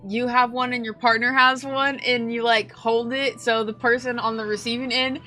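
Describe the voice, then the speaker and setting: A woman streamer casually reacting to a game in a slangy, jokey, expressive way, with game music behind her. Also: fairly high pitch, normal speed.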